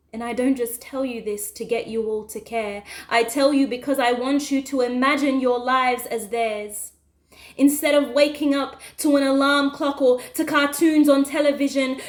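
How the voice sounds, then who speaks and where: A woman performing spoken word poetry with a rhythmic, impassioned, emphatic delivery. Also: sits high. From Western Australia. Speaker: spoken word poet and activist. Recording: echoey, roomy sound.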